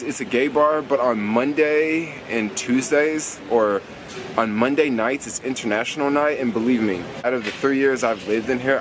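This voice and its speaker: A young American man chatting casually and slangily to camera as he vlogs, rambling and repeating phrases for emphasis. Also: mid-range voice. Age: young adult. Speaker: vlogger. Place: outdoors on city streets. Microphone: camera held at arm's length. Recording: noisy urban street ambience.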